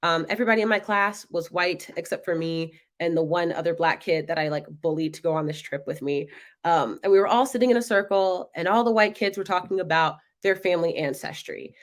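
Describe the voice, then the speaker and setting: A young woman from North Carolina, hosting a web show and talking through her stories in a casual monologue, lively when she quotes other people. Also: fairly low pitch, brisk. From North Carolina, United States. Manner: animated, acts out others' voices in quotes.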